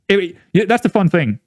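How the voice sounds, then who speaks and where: A young man with a Danish accent, a native Danish speaker, chatting casually through a football management game, rambling with many restarts and jumping into excited outbursts. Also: high-pitched, no room sound, clean studio sound. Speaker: gaming YouTuber.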